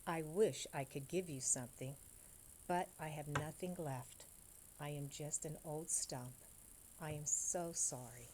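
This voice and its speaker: A woman voicing a gentle, selfless old female tree from a storybook, weary and giving, speaking softly with sighs and some whispering. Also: sits low, unhurried, noisy background. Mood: sad, apologetic. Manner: soft, sighing, partly whispered.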